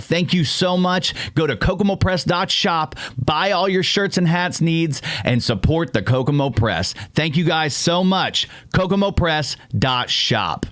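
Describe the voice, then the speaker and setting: A man's voice: on the high side, fairly bright tone. A man reading an upbeat, salesy promo for his show's merchandise. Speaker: show promoter. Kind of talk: promo ad read.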